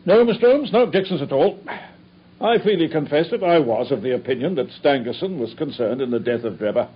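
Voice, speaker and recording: A man's voice: fairly high pitch, expressive, slightly rough. An actor playing a dogged, proud male police inspector recounting a case in a radio drama. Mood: earnest. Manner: earnest, storytelling, brisk. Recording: dull old radio recording with faint noise.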